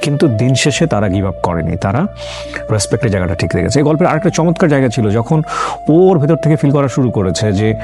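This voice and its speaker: A man hosting a life-story interview, reflecting at length on the guest's story and drawing moral lessons, mixing English words into his talk. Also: mid-range voice. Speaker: host. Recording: background music under speech.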